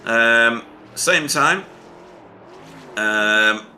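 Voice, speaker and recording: A man working as a sim racing commentator, chatting casually and haltingly as he interviews drivers over online voice chat. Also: medium pitch, animated, sharp tone, soft background music. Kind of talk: casual post-race interview.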